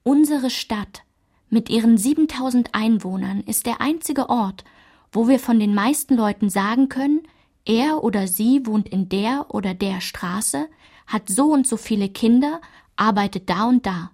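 A woman performing a narrator who recalls her small-town French childhood in a literary radio play, in a measured, enumerating delivery. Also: a little high, darkish tone. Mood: measured, reflective.

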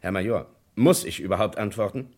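A man's voice: lowish voice, brisk, a little mellow. A man playing a stubborn army lieutenant under interrogation in a German radio crime play, clipped and defensive. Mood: defiant, terse.

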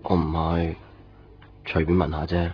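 A man's voice: low-pitched, completely flat delivery, measured pace, slight background noise. A man playing a brooding young boyfriend in a radio drama, subdued and plain-spoken. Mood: quiet, uneasy. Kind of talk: Cantonese radio drama narration and dialogue. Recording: dull lo-fi radio recording, music behind.

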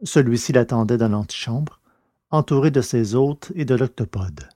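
Mellow male story narrator. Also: mid-range voice, normal speed, no echo, clean studio sound. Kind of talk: scripted audio story narration.

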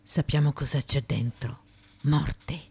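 Proud defiant greek courtesan for radio theatre, deep mellow husky voice, really insistent. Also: female voice, fairly even intonation, takes it slowly, full character voice. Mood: tense, hushed. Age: middle-aged. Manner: emphatic, insistent. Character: proud, defiant former courtesan, mother in ancient Greece. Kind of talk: theatrical radio drama acting.

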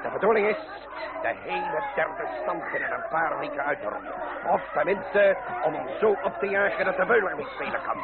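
An actor playing a blustering, hot-tempered revolutionary leader in a Dutch radio drama, forceful and declamatory. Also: male voice, on the high side, expressive, moderate pace. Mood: furious. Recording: tinny noisy radio recording, loud crowd.

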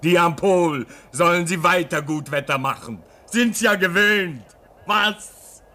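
A man's voice: high-pitched, takes it slowly, pushed and bright, heavily acted. An actor playing a homesick, bitter man stranded at a polar station in a radio drama. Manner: bitter, despairing.

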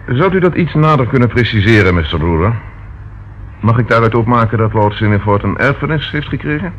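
A man's voice: medium pitch, animated, brisk, close to an everyday voice. A man acting a persistent, courteous police inspector in a Dutch radio play, questioning formally and politely. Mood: courteous, probing. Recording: dull, faintly noisy old radio recording.

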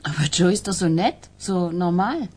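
An actress playing a mobster's kind, candid girlfriend with a husky voice, in a radio crime drama. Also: on the low side, normal speed, warm tone. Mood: wistful.

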